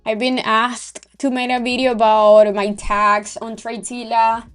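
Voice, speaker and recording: Woman, Venezuelan accent, fairly high voice, slow unhurried talk, faint music behind. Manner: relaxed, thinking aloud with fillers and trailing pauses. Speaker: day trading livestreamer. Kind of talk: casual live-stream chat.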